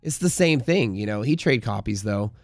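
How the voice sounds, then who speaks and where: A man's voice: lowish voice, expressive, normal speed, no room sound. A man hosting a livestream in casual, slangy, emphatic conversation, answering viewers and giving his opinions. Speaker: livestream host and trading community leader.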